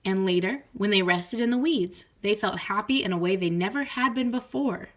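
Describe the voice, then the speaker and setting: A woman speaking as a teacher in an expressive read-aloud style meant for children. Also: mid-range voice, moderate pace, a little hiss or hum. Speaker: teacher reading aloud to pupils.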